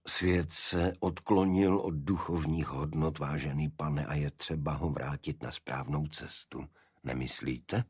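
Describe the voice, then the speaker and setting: A man voicing a small, dignified aristocratic cult leader in an audiobook, with a deep voice and a monotone, chant-like delivery. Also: husky, dry recording, clean studio sound. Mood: solemn, guarded. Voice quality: unexpectedly deep. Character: small energetic nobleman, cult leader, dignified, secretive zealot.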